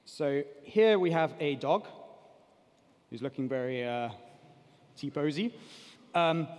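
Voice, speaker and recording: A man in his thirties giving a live software demo as a conference presenter, explaining things informally. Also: on the high side. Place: large indoor conference stage. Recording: reverberant hall stage recording.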